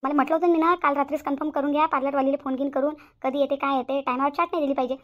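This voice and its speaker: Woman acting fast, high-pitched blunt sister-in-law. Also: level tone, natural voice. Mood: fussing. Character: blunt, quarrelsome young sister-in-law at a family engagement. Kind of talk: Marathi family comedy cartoon dialogue. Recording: slightly dull lo-fi audio.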